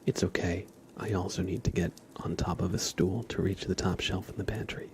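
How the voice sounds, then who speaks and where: A man doing a soft-spoken ASMR roleplay as a streamer, breathy and often whispering, giving gentle, playfully deadpan instructions. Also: sits low, brisk, slight background noise.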